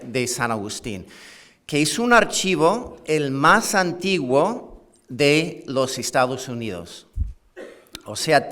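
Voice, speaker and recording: A middle-aged man lectures at an academic conference in Spanish learned as a second language, his English-Canadian accent tinged with Andalusian. He speaks slowly and deliberately, stumbling through restarts and "eh" fillers and adding wry, self-deprecating asides. His voice sounds clean and resonant. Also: medium pitch, fairly bright tone. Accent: English-Canadian with Andalusian Spanish touches. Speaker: university professor giving a conference talk.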